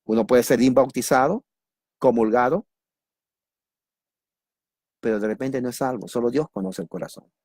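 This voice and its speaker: Peruvian male preacher, mid pitch, dry close sound, lively teacher who quizzes the room. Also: normal speed, creaky, pauses often, no room sound. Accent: Peruvian Spanish. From Peru. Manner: repeats key words, asks and answers his own questions; lively, jokes with listeners. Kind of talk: teaching sermon on doctrine.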